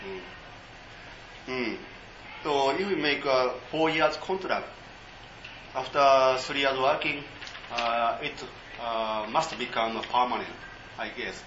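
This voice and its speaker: A man giving an informal seminar talk in English, halting and full of fillers. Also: medium pitch, sharp tone, roomy sound, muffled recording, slight background noise. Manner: halting, many fillers, non-native English.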